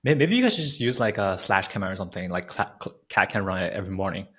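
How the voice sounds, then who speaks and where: A man's voice: low voice, animated, quick pace, a bit bright, breathy edge. A man hosting an interview in a casual, chatty style. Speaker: interview host. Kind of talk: casual chat.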